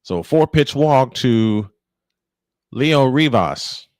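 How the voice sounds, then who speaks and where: A man's voice: mid-range voice, lively intonation, slow, darkish tone, dry recording, studio quality. A man calling a baseball game live as play-by-play announcer, with conversational, opinionated asides. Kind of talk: live sports commentary.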